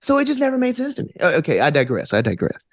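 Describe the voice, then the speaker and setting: A man's voice: fairly high pitch, wildly swooping pitch, very fast, dry recording. A man in his twenties with an Alabama Southern accent, chatting casually and enthusiastically in informal, slangy language as an interview guest. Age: 20s. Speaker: guest, actor and stage combat student.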